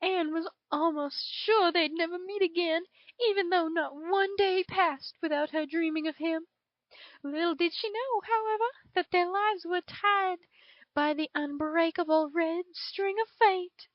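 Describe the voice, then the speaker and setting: A woman's voice: very high-pitched, unhurried, no echo, lo-fi sound. A woman voicing a drawling southern dragon. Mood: dramatic. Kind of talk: reading fan fiction aloud.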